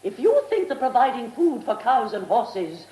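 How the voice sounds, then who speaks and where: An imperious older lady of the manor in a radio drama. She is high-voiced and swooping, haughty and sharp-witted, in an echoey hall. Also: big pitch swings, normal speed, slight background noise. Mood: indignant, cutting. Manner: haughty, crisp, dryly cutting. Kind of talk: radio play acting.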